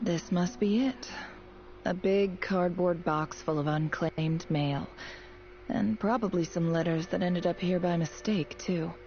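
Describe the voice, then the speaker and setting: Game casting: a wry, matter-of-fact young female student, guarded, lowish pitch with noticeable vocal fry. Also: unhurried, warm tone, muffled recording, faint music behind. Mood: curious, musing. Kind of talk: video game voice acting.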